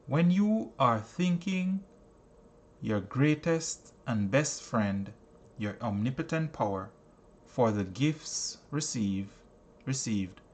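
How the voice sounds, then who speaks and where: A man narrating a self-help book in a solemn, deliberate, instructive way. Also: mid-range voice, a little mellow. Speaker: audiobook narrator.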